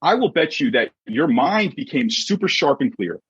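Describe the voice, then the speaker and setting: A husky-voiced man giving an emphatic, conversational motivational talk as a coach and podcast guest, often checking in with short rhetorical questions. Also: medium pitch, big pitch swings, moderate pace, a bit bright, no room sound. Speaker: podcast guest, sports mindset coach and author. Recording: dull, muffled lo-fi audio.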